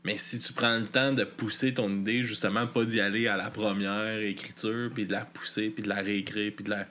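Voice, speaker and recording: A fairly low-voiced man with a Québécois French accent, bright and forceful in tone. He hosts a casual music podcast interview, talking loosely and swearing freely. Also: flat delivery, a little fast. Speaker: podcast host.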